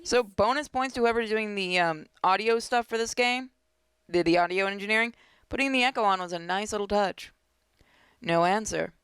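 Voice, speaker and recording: A woman's voice: medium pitch, normal speed, a bit bright, clear, resonant tone, dry recording. A woman hosting a gaming video, reading visual novel text aloud expressively with casual commentary.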